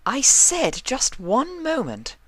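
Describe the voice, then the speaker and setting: A man's voice: extremely high pitch, wildly swooping pitch, slow, a bit bright, no room sound, voice pushed up, full character voice. A man voicing a prim, fussy, bossy female pony dressmaker with affected, refined diction in a storybook reading. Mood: exasperated, bossy.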